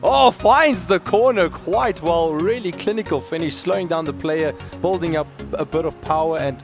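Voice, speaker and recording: A man's voice: on the high side, moderate pace, over music. Man calling a football video game. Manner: lively, rising excitement at chances. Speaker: esports commentator. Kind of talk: play-by-play commentary.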